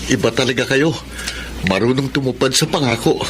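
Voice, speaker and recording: An actor playing a self-assured, money-minded young accountant in a Filipino radio drama, speaking casually. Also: male voice, medium pitch, brisk, background noise, close to an everyday voice. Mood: breezy, assured. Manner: casual, confident. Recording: studio radio drama over loud music.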